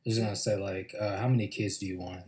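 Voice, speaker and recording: A man's voice: sits low, steady tone, fast talker, noisy background. A man from San Diego on a dating show, asking laid-back, hesitant questions. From San Diego, California.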